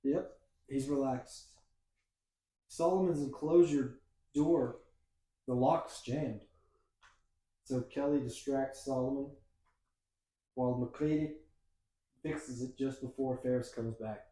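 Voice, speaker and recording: A man on a casual podcast recaps a film scene by scene and tosses in joking asides. His voice is mellow and dark. The sound is roomy, with the echo of an untreated room. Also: medium pitch, slow, pauses often. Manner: walks through the plot with playful asides. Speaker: podcast host. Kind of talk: casual movie recap chat.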